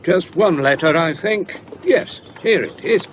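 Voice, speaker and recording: An actor playing a chatty, inquisitive mail clerk with an English accent in a British radio horror drama. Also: male voice, sits high, expressive, unhurried, slight background noise. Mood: chirpy. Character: chatty, nosy, polite male mail clerk.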